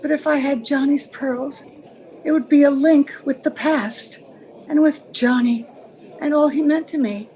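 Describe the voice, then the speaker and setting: An actress playing a sentimental, lovelorn married woman in old-time radio melodrama, speaking earnestly in halting phrases. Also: sits high, fairly even intonation, a little mellow, rough recording. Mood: wistful, troubled.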